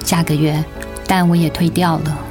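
Casting: actress as weary, stubbornly independent young mother, Taiwanese Mandarin, radio play, clearly audible music underneath. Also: sits low, flat delivery, normal speed, close to an everyday voice. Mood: subdued, resigned. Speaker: voice actor in a radio drama. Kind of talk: dramatic radio play acting.

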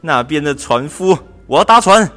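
A young man acting a high-voiced, anxious clerk. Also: lively intonation, moderate pace, fairly bright tone. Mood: carefree, puzzled. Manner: exclamatory, pleading. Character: anxious, cheeky, lazy male government clerk in ancient China. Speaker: student voice actor in a radio drama. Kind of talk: amateur radio drama acting. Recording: music under the voice.

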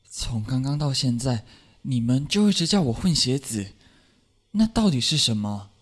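A man's voice: medium pitch, big pitch swings, normal speed. An actor playing a guarded, bewildered young half-blood man in a Chinese audio drama, with terse, wary delivery. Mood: confused.

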